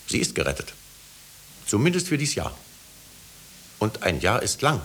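An unbending judge of old Salem in a vintage radio drama. His voice is low and moves widely as he pronounces with formal authority. A faint hiss lies over the old recording. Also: a bit bright. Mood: probing, cool. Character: stern, unbending male judge of Puritan Salem. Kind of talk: 1950s German radio drama acting.

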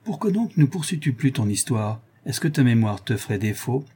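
Naval officer for audiobook, male reader acting: stern, authoritative, formal, medium pitch, slightly rough-edged. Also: big pitch swings, normal speed, mellow. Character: stern, commanding naval lieutenant addressing his crew. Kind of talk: acted audiobook character dialogue.

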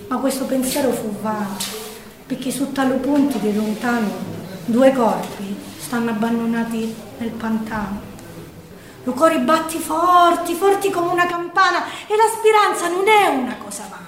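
A woman's voice: high voice, lively intonation, normal speed, crowd noise. A woman with a Sicilian accent performing dramatic theatrical storytelling in verse, declamatory and lamenting. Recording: echoey room, distant from the mic.

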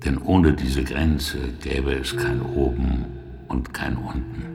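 Ancient, solemn founder for radio drama: extremely low, gravelly, brooding. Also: male voice, fairly even intonation, heavily acted. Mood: solemn, contemplative. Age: older. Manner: slow, solemn, philosophical delivery. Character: blind, aged male corporate founder, solemn and brooding. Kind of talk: German science-fiction radio drama acting. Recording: echoey, music under the voice.

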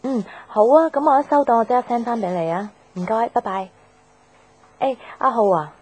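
An actress playing a gentle young career woman in love in a radio drama, speaking Hong Kong Cantonese. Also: a little high, animated, normal speed. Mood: businesslike, friendly. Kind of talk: radio drama narration and dialogue. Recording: music behind the voice.

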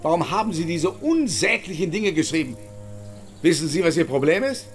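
An actor playing a bitter, heartbroken, wrathful writer in a radio drama, scornful, formal and emphatic. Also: male voice, a little high, lively intonation, normal speed, faint music behind, lightly acted. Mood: bitter anger.

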